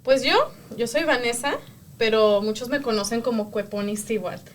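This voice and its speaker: A woman's voice: fairly high pitch, moderate pace, slight background noise. A woman with a Mexican accent, co-hosting a podcast in casual chat, telling stories and laughing often. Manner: warm, laughs often, lively storytelling. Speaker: podcast co-host.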